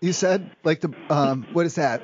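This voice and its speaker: A man's voice: fairly high pitch, moderate pace, slight background noise. A man making a prank phone call, rambling in a feigned naive way with stammering restarts. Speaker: prank caller.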